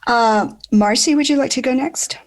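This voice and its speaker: A woman in her sixties hosting a podcast and moderating a group discussion in a measured, articulate way. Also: sits high, a little hiss or hum. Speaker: podcast host.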